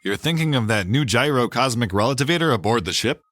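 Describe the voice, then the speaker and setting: An earnest, decisive hero of old-time space adventure, played by a man. The delivery is expressive and recorded dry. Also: mid-range voice, fast, no room sound, soft background music, natural voice. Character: earnest heroic male space captain Buck Rogers.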